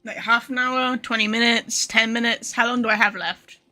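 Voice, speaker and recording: A woman livestreaming a video game, chatting casually to viewers in an excitable, rambling manner. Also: on the high side, normal speed, soft background music. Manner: excitable, rambling, swears freely. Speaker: game streamer. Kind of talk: casual live gaming commentary, chatting to viewers.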